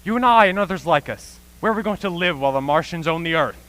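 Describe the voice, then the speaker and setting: A performer acting an earnest, dismayed lone survivor in a stage radio play, speaking in a deliberate, questioning, dramatic way. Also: medium pitch, expressive, heavy background noise, close to an everyday voice. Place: school auxiliary gym.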